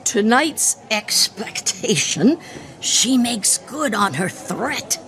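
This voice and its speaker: An actress playing a witty, boozy woman poet with theatrical bravado in a radio play, in a wry, declamatory, halting tipsy manner. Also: a little high, very animated, fairly bright tone, a little hiss or hum, heavily acted. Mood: amused, gossipy. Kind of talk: radio theatre acting in verse.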